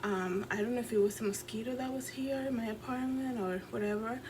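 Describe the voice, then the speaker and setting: A woman's voice: a little high, a little fast, darkish tone. A woman from the Dominican Republic with a Dominican accent, earnestly recounting a dream in a casual, rambling vlog full of fillers, recorded in her apartment. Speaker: vlogger and fashion designer. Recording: clear background noise.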